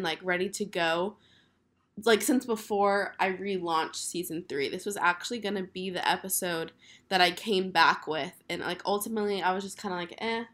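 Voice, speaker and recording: Hoarse young woman hosting a podcast. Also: mid-range voice, a bit bright. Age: 20s. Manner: chatty, filler-heavy, upbeat. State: hoarse from a cold. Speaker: podcast host. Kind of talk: casual solo podcast chat.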